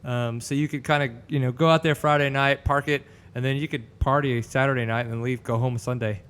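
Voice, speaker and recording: A man's voice: medium pitch, fairly even intonation, fairly quick, fairly bright tone, clear, resonant tone. A man in his thirties hosting a casual podcast show, upbeat and swearing casually as he chats and reads sponsors. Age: 30s. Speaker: host. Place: studio set with couch. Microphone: boom mic.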